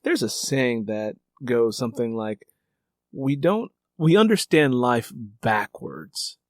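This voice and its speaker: A man's voice: mid-range voice, animated, slow pace, darkish tone, no room sound. A man with a mid-range voice works as a podcast interviewer. He talks in a reflective, conversational way, circling back to rephrase his points with emphasis. The sound is very dry, with no room around it.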